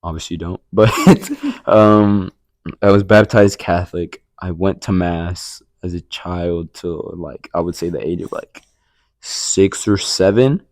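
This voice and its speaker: A young man with a very low, deep voice talks through his story in a loose, casual way. He rambles, restarts his sentences and leans on filler words. The sound is dry and close, with no room echo. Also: fairly even intonation, takes it slowly, a little mellow. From Puerto Rico. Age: young adult. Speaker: podcast co-host. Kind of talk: casual testimony chat.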